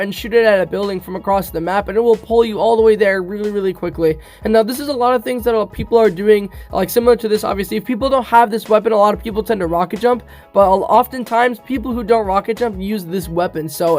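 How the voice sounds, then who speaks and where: Brief: young male gaming youtuber, high voice, rapid delivery, slightly sick and rough, chatty with fillers, faint music underneath. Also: a little mellow. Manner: chatty, many fillers, keeps saying "guys". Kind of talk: casual game commentary.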